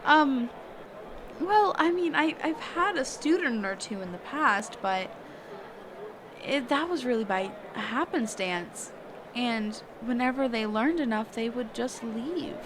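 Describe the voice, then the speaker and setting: Woman acting as a slow, high-voiced, shy mage. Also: expressive, background noise. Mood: surprised, flustered. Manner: hesitant, halting, flustered, trailing off with pauses. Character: reclusive, shy, kind woman mage and magic teacher. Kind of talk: intimate character roleplay audio, one-sided conversation.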